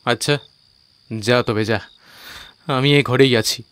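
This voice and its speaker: A man's voice: medium pitch, slow, fairly bright tone, smooth. An actor playing a cocky, scoffing young man who leads his friends in an audio ghost story. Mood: sarcastic, casual. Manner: mocking, colloquial. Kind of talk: audio drama dialogue in a Bengali ghost story.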